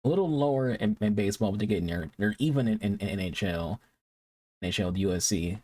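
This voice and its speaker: A man's voice: fairly low pitch, expressive, fast talker, darkish tone. A man from Detroit hosting a reaction video, talking casually and rambling with frequent restarts and repeated words. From Detroit, United States.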